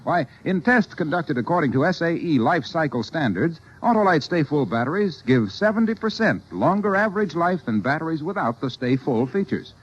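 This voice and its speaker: A man's voice: on the high side, big pitch swings, brisk, hardly any pauses, slightly rough. A man reading an old-time radio commercial as a polished, folksy announcer. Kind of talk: vintage radio advertising pitch. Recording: muffled vintage radio broadcast.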